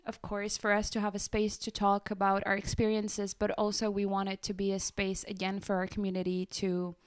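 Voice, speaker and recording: A young woman co-hosts a radio talk show in a casual, conversational style. Her voice sits at a medium pitch and is smooth and clear. The sound is dry, with hardly any room around it. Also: completely flat delivery, a little fast, dry recording. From Syria and the Czech Republic.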